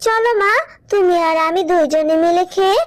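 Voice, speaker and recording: Casting: woman as timid little cartoon girl, extremely high voice, sweet and childlike. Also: normal speed. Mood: happy, grateful. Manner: childlike, plaintive. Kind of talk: Bengali children's cartoon dub.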